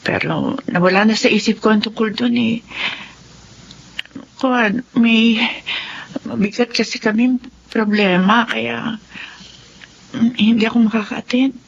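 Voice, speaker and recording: A woman's voice: on the high side, measured pace, creaky, long pauses, breathy edge, lo-fi sound, exaggerated voice. A middle-aged woman playing a worried mother of a sick child in a radio drama. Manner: emotional, tearful.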